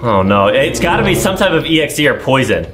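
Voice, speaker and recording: Young man co-hosting with very swingy pitch. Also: sing-song, a little fast, fairly bright tone. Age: young adult. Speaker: gaming video co-host. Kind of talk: casual let's-play banter. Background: game music.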